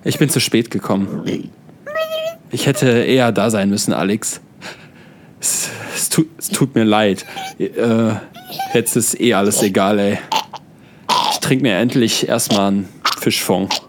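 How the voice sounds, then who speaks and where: A man's voice: on the low side, measured pace, long pauses, noisy background, natural voice. A man playing a frantic, loyal slacker friend in a comedy audio drama, exclamatory, slangy and melodramatic. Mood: remorseful, resigned.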